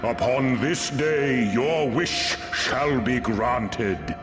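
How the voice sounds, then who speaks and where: Slow, booming, echoing storm god. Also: male voice, animated. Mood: grand, ominous. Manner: slow, pompous, declamatory, archaic diction. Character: grandiose, capricious male storm god, revelling in battle. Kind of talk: archaic theatrical game cutscene acting. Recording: echoing voice with music behind.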